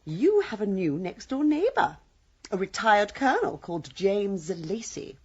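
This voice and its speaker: Kindly, lilting village gossip. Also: female voice, mid-range voice, very animated, measured pace, darkish tone, breathy edge, highs cut off. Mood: cheerful, gossipy. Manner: genteel, cheerful. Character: kindly, gossipy village wife, gentle and approving. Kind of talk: radio drama acting.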